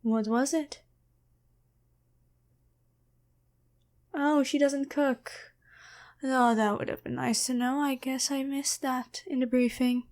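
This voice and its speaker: A woman playing a sly, teasing female demon posing as a girlfriend in an intimate, deliberate ASMR roleplay. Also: high voice, fairly even intonation, darkish tone. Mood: smug, taunting. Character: taunting female demon possessing and impersonating someone's girlfriend. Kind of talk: ASMR roleplay, addressed to the listener.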